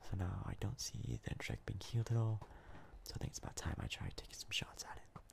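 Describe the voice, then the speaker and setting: Whispering man with a very creaky voice. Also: fairly low pitch, big pitch swings. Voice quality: breathy, mostly whispered. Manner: hushed, casual, halting. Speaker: gaming video host. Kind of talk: soft-spoken ASMR gameplay commentary.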